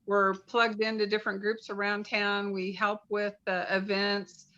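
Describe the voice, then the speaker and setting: A woman's voice: mid-range voice, flat delivery, measured pace, pushed and bright, lo-fi sound. A woman from a paddling club asking questions on a video call, earnest and restarting her sentences.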